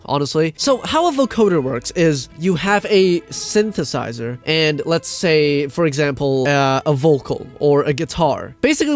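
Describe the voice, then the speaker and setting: Guy ranting on his video, kinda high voice, super animated, swears a lot, keeps repeating stuff, fed up. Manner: exasperated, sweary, emphatic, repeating himself. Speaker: video host, music commentator. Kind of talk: casual ranting commentary.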